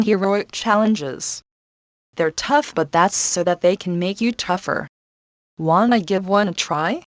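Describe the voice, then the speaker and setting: A woman's voice: on the low side, dry recording, natural voice. A confident young adventurer woman played by an actress guides the mission in a cartoon game. She is sassy, wry and encouraging, recorded close and dry with no room sound. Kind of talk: video game character acting.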